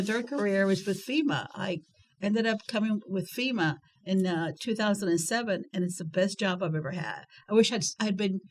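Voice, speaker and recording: An older woman from Texas, a guest on an interview, reflecting on her working life in a rambling, self-correcting way. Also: medium pitch. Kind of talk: casual interview chat.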